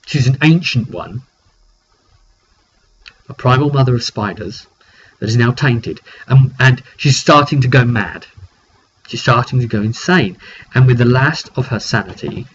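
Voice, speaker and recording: A man's voice: medium pitch, expressive, a little slow, pauses often. A muffled, noisy recording of a man working as a tabletop game master. He tells the fantasy story informally but with drama, leaning on repetition for emphasis. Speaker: game master recapping a roleplay session. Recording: dull lo-fi recording, clear background noise.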